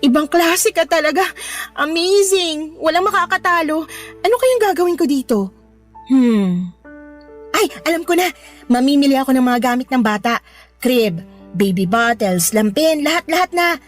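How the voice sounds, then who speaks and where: A woman's voice: high-pitched, lively intonation, moderate pace, faint music behind. An actress playing a young, sweet-talking girlfriend who coaxes and placates her partner in a Tagalog radio drama. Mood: delighted. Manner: coaxing, cajoling.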